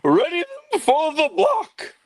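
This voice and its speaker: A man's voice: very high voice, sing-song, slow, a crowd in the background, exaggerated voice. A man acting a stern, commanding medieval sheriff with formal delivery in a script read. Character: stern medieval sheriff pronouncing a death sentence.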